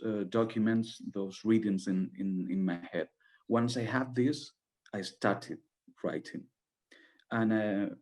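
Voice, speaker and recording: A man with a Spanish accent, a native Spanish speaker, answering as a playwright in a post-show Q&A, halting as he searches for words. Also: lowish voice, a little mellow.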